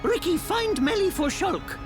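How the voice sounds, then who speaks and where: A man voicing a small, cheerful, brave furry creature in an animated game story, childlike and speaking of himself in the third person with broken grammar. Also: extremely high pitch, unhurried, over music. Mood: plucky, eager.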